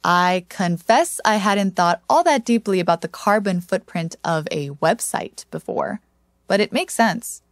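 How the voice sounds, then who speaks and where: A woman's voice: mid-range voice, expressive, measured pace, no room sound, clean studio sound. A woman hosting a podcast, moving between scripted narration and interview questions. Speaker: podcast host.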